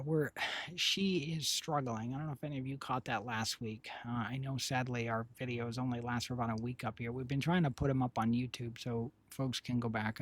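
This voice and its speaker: A man with a raspy, husky voice, hoarse today, chatting casually on a live stream. He rambles along with lots of fillers and restarts, at a mid pitch. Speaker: streamer and developer.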